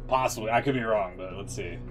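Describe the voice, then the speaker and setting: A man's voice: lowish voice, quick pace. A man giving casual, blunt live reaction commentary as a game streamer, in informal language. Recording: music playing behind the voice.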